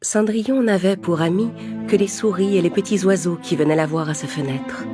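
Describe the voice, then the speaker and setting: A woman's voice: on the low side, brisk, over loud music. A woman narrating a scripted bedtime fairy tale for young children as the storyteller.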